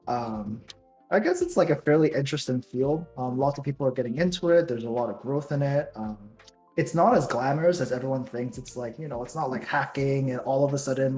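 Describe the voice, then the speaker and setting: A man's voice: medium pitch, moderate pace, reverberant, soft background music. A young man from Taiwan, co-hosting a casual podcast chat, rambling with many fillers. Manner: relaxed, rambling with many ums and likes. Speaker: podcast co-host.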